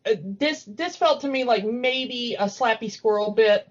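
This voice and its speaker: A woman in a casual, discursive fan chat about cartoons, talking in an animated, opinionated way. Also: on the high side, unhurried, muffled recording. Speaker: podcast co-host.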